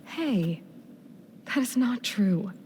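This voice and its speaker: An actress playing a frank, loyal young heroine in a video game, speaking earnestly and directly. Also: female voice, on the high side, expressive, very slow, warm tone, slight background noise. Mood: reassuring. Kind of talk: video game cutscene acting.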